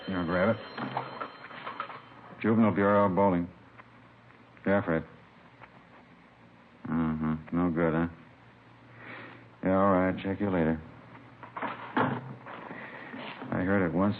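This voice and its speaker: An American actor playing a weary, businesslike police inspector with a terse, clipped delivery in a 1950s radio crime drama. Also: male voice, low voice, fairly even intonation, slow pace, long pauses. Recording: tinny, noisy old radio broadcast recording.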